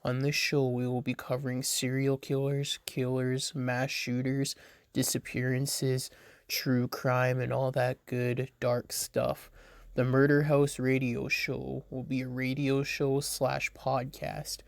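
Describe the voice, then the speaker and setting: A man hosting a true crime podcast, reading a script haltingly, stumbling over names and adding blunt, swearing asides. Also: medium pitch, monotone, vocal fry, no room sound.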